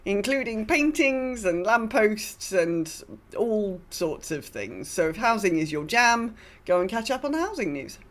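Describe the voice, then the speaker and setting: A woman's voice: medium pitch, very animated, a little slow, hardly any pauses. A woman chatting casually as a podcast co-host. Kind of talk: casual chat.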